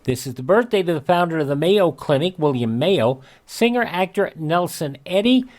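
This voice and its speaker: A man's voice: a little high, expressive, normal speed, a little mellow. A man with an American accent hosting a radio show, reading out historical dates in a scripted almanac style.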